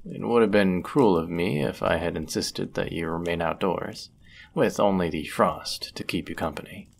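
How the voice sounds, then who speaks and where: Fantasy audio drama: man to play amorous, courteous innkeeper and proud charmer, lowish creaky voice, formal and suave. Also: normal speed. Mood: gracious, attentive. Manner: formal, ornate, suave, teasing phrasing. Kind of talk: scripted roleplay audio drama, addressing the listener.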